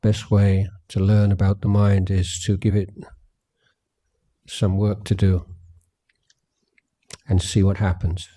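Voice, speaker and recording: A man guiding a meditation as a teacher, speaking gently and in a measured way with long pauses. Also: low-pitched, monotone. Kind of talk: guided meditation talk at a retreat.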